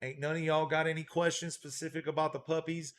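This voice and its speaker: A man's voice: a little high, little pitch movement, a little fast, a bit bright. Laid-back man hosting a livestream. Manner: laid-back, repeats "real talk, man"; reads out and thanks viewer donations. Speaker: dog breeder hosting a livestream. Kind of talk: casual livestream chat.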